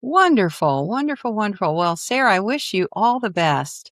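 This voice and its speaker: A woman's voice: mid-range voice, big pitch swings, normal speed, no room sound. Encouraging woman podcast host, dry sound. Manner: encouraging, effusive. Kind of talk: casual interview chat.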